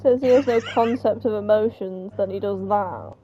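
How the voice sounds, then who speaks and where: A woman's voice: medium pitch, normal speed. A woman reading a story aloud in a casual table read. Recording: dull, noisy home recording.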